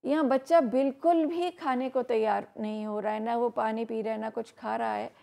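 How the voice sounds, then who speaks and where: A woman giving health advice on an educational program, explaining steadily with frequent pauses and fillers. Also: fairly high pitch, fairly even intonation, creaky, warm tone. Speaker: health expert guest. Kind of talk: educational talk on live program.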